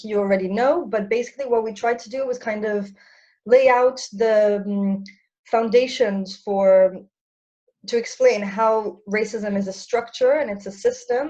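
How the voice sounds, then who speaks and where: Brief: young woman seminar co-host, measured and thoughtful, many ums, slightly dull video-call audio. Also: mid-range voice, a little mellow. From French and Irish background. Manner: thoughtful, many fillers and restarts. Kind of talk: online seminar presentation.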